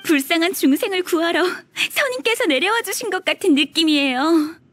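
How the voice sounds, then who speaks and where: An actress playing a young, overworked, deferential office clerk in a video game, eager and pleading. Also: female voice, very high voice, expressive, normal speed, fairly bright tone. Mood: pleading relief.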